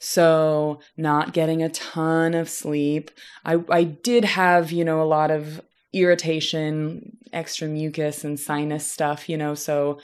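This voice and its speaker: Female interview host, low-pitched, chatty and animated. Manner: chatty, animated, many fillers like "you know". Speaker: podcast host. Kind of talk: casual interview conversation.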